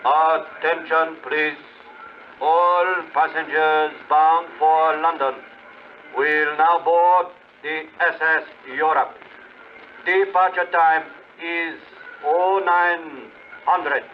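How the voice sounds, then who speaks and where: An actor playing a formal port announcer giving a public boarding call in a 1950s radio drama. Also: male voice, fairly high pitch, fairly even intonation, extremely slow. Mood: formal, impersonal. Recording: muffled, faintly noisy 1950 radio recording.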